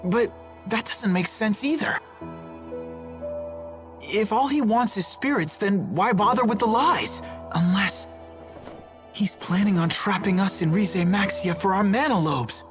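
A woman's voice: mid-range voice, a little slow, pauses often, lightly acted. A woman voicing an earnest youth in a video game, informal and questioning. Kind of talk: English video game cutscene voice acting. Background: music.

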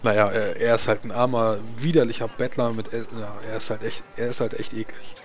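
A man's voice: on the low side, flat delivery, a little fast. A man chatting casually in character at a tabletop game, repeating his phrases as he goes. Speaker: tabletop role-playing player.

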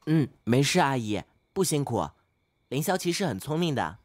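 A young man acting an earnest, polite, slightly naive studious teenage boy in an audio drama, gentle and encouraging. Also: medium pitch, sing-song, moderate pace, no echo, studio quality, natural voice. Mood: polite, puzzled. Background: background music.

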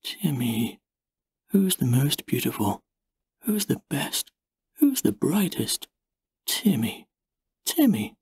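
A man voicing a quiet, tender mother who persuades gently, in an audiobook story. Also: medium pitch, big pitch swings, slow, pauses often, husky, no echo, clean studio sound, exaggerated voice. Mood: cooing. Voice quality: soft, quiet.

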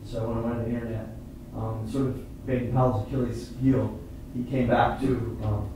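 A middle-aged man talks informally with an audience from a theatre stage. He explains in a halting, earnest way. The sound is very reverberant and picked up from far off, with clear noise behind. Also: medium pitch, little pitch movement. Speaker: playwright and performer at a post-show talkback. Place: indoor theatre stage, seated on the floor. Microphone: far camera microphone. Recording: distant echoey hall recording with noise.

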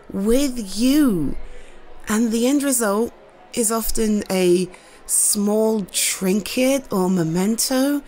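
Slow, tender British girlfriend played by a woman. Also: on the high side, rough recording. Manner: soft, hesitant, tender, with halting repeats. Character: affectionate, slightly shy girlfriend speaking to her partner. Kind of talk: intimate girlfriend roleplay audio acting.

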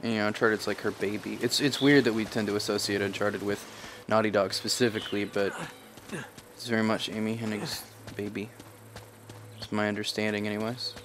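A man's voice: on the low side, little pitch movement, fairly quick. A man casually analyzing a video game as he plays, on a livestream, chatty and digressive, thinking aloud. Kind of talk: casual commentary over gameplay. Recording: noisy game stream.

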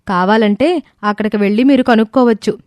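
A woman's voice: medium pitch, steady tone, quick pace, darkish tone, dry recording, pitched above the performer's own voice, close to an everyday voice. A woman voicing a younger mother in a story, earnest and pleading, speaking with emphatic insistence. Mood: insistent.

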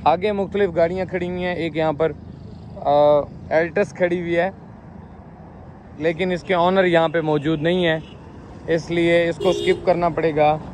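A man in his thirties walking around a used car market outdoors, showing cars and chatting with owners in brisk, repetitive patter. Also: on the high side, a little mellow, slight background noise. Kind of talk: walkaround car showcase with owner chats.

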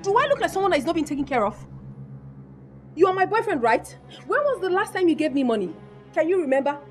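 Woman acting a high-voiced, haughty Nigerian girlfriend. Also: sing-song, quick pace, faint music behind. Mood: indignant scolding. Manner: emphatic, scolding, repetitive. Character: haughty, materialistic young girlfriend, blunt and scornful. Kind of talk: comedic melodrama acting.

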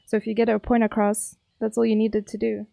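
A woman's voice: fairly high pitch, completely flat delivery, a little fast, creaky, dark tone, dry recording, studio quality. A young woman with a South African accent, chatting casually and teasingly with her viewers while streaming a game. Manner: chatty, playful, teasing chat.

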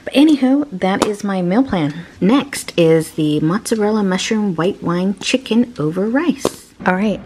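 Female home-cook vlogger, slightly low pitch, informal and playful, some kitchen background noise. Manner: chatty, informal, playful wording. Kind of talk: casual cooking vlog narration.

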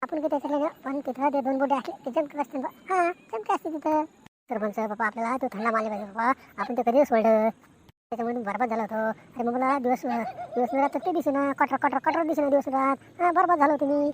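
A woman's voice: sits high, quick pace, natural voice. A woman voicing a chatty, boastful village man in an amateur cartoon comedy, emphatic and repetitive, with a rural Maharashtrian accent. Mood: chatty, animated. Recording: noticeable background noise.

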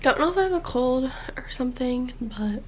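A woman's voice: high voice, lively intonation, moderate pace, a little hiss or hum. A young woman chatting casually to camera in her bedroom, slightly stuffed up, with lots of "like" and "literally". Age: young adult. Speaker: college student vlogger. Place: small bedroom, indoors.

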